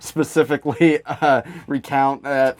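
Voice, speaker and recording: A man's voice: medium pitch, steady tone, measured pace, dry recording. A man co-hosting a podcast with casual comedic banter, swearing freely and often laughing. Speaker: podcast co-host.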